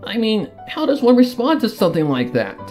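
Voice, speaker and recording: A man's voice: sits high, wildly swooping pitch, a little fast, darkish tone. A man reading a first-person fan fiction story aloud in an emotive, theatrical way. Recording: music under the voice.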